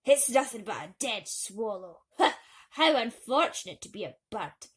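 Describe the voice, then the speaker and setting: A woman's voice: fairly high pitch, very animated, moderate pace, breathy edge. A woman voicing a pompous, scornful elderly male mole, blustery and dismissive, in a storybook reading.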